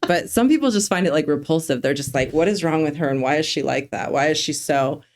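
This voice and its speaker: A woman with a low voice talks quickly as a podcast guest. She is blunt and outspoken and moves briskly from point to point. It is relaxed talk with a friend. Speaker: guest attorney. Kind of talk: casual podcast conversation.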